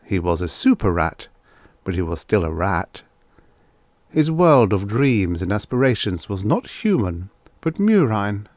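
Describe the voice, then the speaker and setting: A man with a fairly low voice narrates an audiobook of old science fiction tales. The sound is very dry and close, with little room around his scripted storytelling. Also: big pitch swings, measured pace, a little mellow, no echo.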